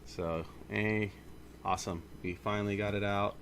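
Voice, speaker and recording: Male indie developer, low fry-edged voice, informal rambling update delivery, faint noise behind. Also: fairly even intonation, normal speed, fairly bright tone. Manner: informal, rambling, self-correcting. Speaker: indie game studio founder. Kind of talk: casual monthly studio update to camera or mic.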